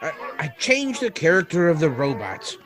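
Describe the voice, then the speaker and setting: A man playing an anguished scientist in a 1930s-style radio drama, speaking in a grave, halting, theatrical way. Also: on the high side, wildly swooping pitch, a crowd in the background. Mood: anguished, confessional. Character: anguished male scientist confessing to tampering with robots.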